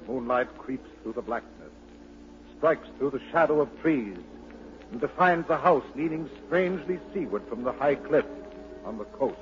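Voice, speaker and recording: A man's voice: mid-range voice, expressive, moderate pace, long pauses. A man reading scripted, dramatic scene-setting narration in the style of 1940s radio. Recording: muffled, noisy 1940s radio recording.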